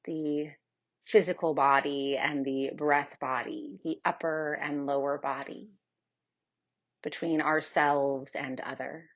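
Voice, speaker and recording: Woman doing guided meditation, really slow and soothing, low voice, kinda muffled audio. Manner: soothing, unhurried with long pauses. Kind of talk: guided meditation, yoga nidra.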